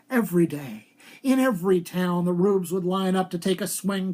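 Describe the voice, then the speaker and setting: A male author at a live reading. He has a theatrical storyteller's delivery and places clear emphasis on his phrases. The voice is that of a writer presenting his own fiction aloud. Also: sits high, darkish tone. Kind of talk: live dramatic literary reading.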